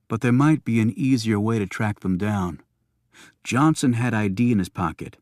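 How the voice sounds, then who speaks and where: A man's voice: lowish voice, moderate pace, darkish tone, no room sound, studio quality, close to an everyday voice. A man voicing a cold, calculating killer who talks tersely in an audiobook. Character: cold, calculating male killers plotting quietly.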